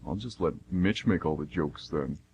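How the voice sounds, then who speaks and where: Huffy, wisecracking male passenger, acted, slightly deep, radio play dialogue with faint noise. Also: moderate pace, darkish tone, lo-fi sound, lightly acted. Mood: joking, miffed.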